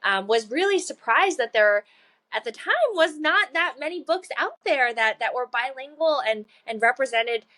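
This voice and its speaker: A woman in her thirties chats as a podcast guest, earnest and upbeat. She laughs easily and fills her pauses with ums and uhs as she talks. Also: sits high, expressive. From China. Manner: warm, earnest, laughs often, many fillers. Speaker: guest, children's book author. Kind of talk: casual interview chat.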